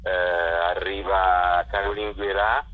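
A man speaking as a festival director presenting a programme on the radio, in a halting way with frequent pauses. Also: deep voice, level tone. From Italy. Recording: muffled, lo-fi radio or telephone sound.

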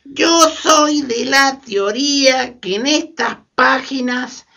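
A woman's voice: sits high, slow, gravelly, pushed and bright, full character voice. A woman playing a defensive, skeptical character in a community radio play, objecting politely and hesitantly. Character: defensive skeptical man who wants history forgotten.

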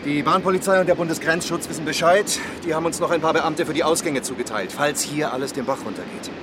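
A man's voice: fairly high pitch, fast, noisy background, natural voice. An actor playing a businesslike male police chief running a stakeout in a radio crime drama.

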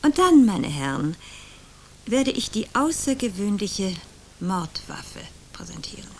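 An actress playing a theatrical older woman, a former celebrated opera singer, who speaks grandly and drops into hushed whispers. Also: mid-range voice, very animated, unhurried. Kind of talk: radio drama acting.

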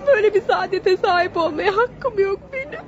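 A woman's voice: very high voice, lively intonation, brisk. An actress in a Turkish radio drama playing a desperate, humble young woman just freed from prison, pleading in a tearful, theatrical way. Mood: anguished. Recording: dull, noisy old radio recording.

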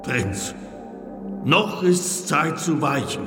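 Grave, unhurried temple priest. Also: male voice, fairly high pitch, lively intonation, over music. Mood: grave, challenging. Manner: formal, deliberate. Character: grave, respectful male temple priest, earnest and probing. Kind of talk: declamatory classical stage speech in a radio play.

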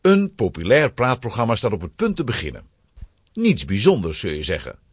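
A man's voice: on the low side, huge pitch swings, brisk, slightly rough, no room sound. A man narrating a children's audio drama from a script, as a storyteller.

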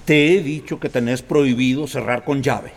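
A man's voice: mid-range voice, moderate pace, big-room sound, lightly acted. An actor playing a strict middle-aged father in a radio drama, with a Costa Rican accent and a stern, scolding tone.

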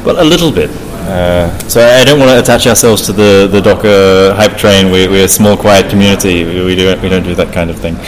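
A man answering technical questions as an interview guest in a measured, modest and thoughtful conversational way. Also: sits low. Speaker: interview guest, software developer. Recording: live recording with crowd chatter behind. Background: crowd chatter.

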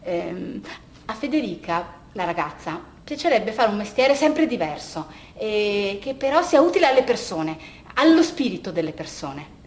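A woman performing a theatrical monologue, telling a story dramatically with halting repetitions. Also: medium pitch, sing-song. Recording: very echoey room with faint noise.

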